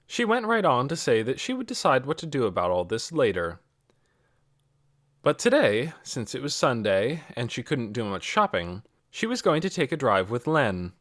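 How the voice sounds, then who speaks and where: Male audiobook reader, medium pitch, animated intonation, close dry sound, scripted story narration. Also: moderate pace, no echo.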